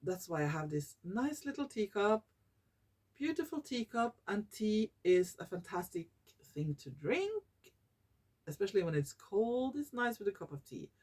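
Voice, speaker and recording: A Norwegian woman vlogging in English, her second language, often pausing to search for words and correcting herself. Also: medium pitch, big pitch swings, a little mellow, breathy edge. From Norway. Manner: slow and searching for English words, many fillers and self-corrections. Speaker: YouTuber. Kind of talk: casual talking-head vlog.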